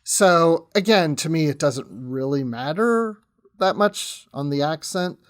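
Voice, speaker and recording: A man's voice: on the high side, animated, measured pace. A man chatting as a guest in a casual fan podcast discussion, conversational with tentative asides.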